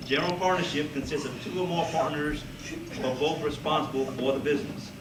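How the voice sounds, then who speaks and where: A man giving an informal student presentation in a puppet show, halting and losing his thread. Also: mid-range voice. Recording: echoey room, distant mic, noisy.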